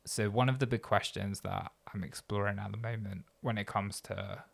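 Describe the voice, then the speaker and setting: A man's voice: lowish voice, steady tone, moderate pace, vocal fry. A man hosting a podcast interview who asks thoughtful, rambling questions and often restarts his sentences. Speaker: podcast host. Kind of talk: conversational interview.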